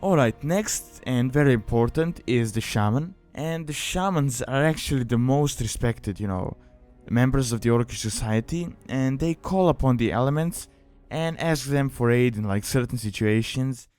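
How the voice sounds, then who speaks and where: Man, medium pitch, clear smooth voice, casual tutorial narration, rambling delivery full of verbal fillers, music underneath. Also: expressive, normal speed, darkish tone. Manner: rambling, peppered with "you know" and "like". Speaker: guide host, gaming video maker. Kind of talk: casual explanatory tutorial voice-over.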